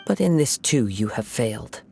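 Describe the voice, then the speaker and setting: An actor voicing a calm, measured young male wandering samurai who speaks in a reflective way. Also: medium pitch, creaky, a little hiss or hum.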